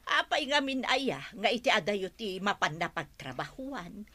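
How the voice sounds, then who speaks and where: A woman's voice: medium pitch, big pitch swings, measured pace, bright, forceful tone, full character voice. A woman with an Ilocano accent playing a chatty, inquisitive older village neighbor in a radio drama.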